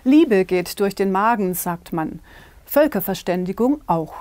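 A woman in her forties presenting a news magazine segment in a TV studio, crisp and polished. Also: medium pitch, animated, measured pace, a little mellow, no echo, faint music behind. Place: small TV studio. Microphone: lapel mic.

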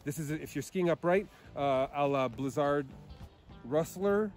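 A man's voice: on the high side, measured pace, a little mellow, soft background music. A man giving a casual ski review outdoors on a snowy mountain, hesitant with many fillers. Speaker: ski tester.

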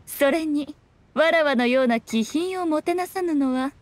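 A woman's voice: high voice, measured pace, dry recording. A woman voicing a sly, haughty fox-spirit shrine maiden in a Japanese anime game, with teasing, archaic noble speech.

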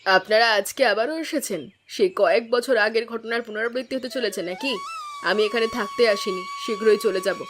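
Woman acting plaintive, high-pitched cartoon village aunt. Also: fairly quick, a bit bright, natural voice. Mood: hurt, reproachful. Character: wronged, kind, dignified village aunt, long-suffering. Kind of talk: Bengali moral-tale cartoon dubbing. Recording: prominent music behind the voice.

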